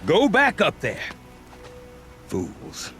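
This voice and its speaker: A man's voice: high-pitched, wildly swooping pitch, drawn-out words, bright, forceful tone, soft background music, full character voice. An actor playing a cynical male merchant who grumbles sardonically about the locals in a game cutscene. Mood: sardonic. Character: cynical male trader, scornful of local folk.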